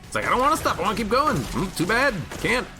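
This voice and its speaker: A slightly hoarse man chats his way through a game stream, his pitch bouncing lively between high and low as he reacts. He talks in an easy, rambling manner, and game music plays clearly behind him. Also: fast talker. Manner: chatty, reads chat aloud, musing. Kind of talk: casual gameplay commentary.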